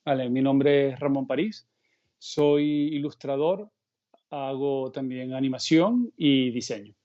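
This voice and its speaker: A middle-aged Venezuelan man talking as an interview guest in a relaxed, meandering way, thinking aloud mid-sentence. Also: mid-range voice, takes it slowly, highs cut off. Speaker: guest illustrator. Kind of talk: casual conversational interview. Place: remote call from home abroad.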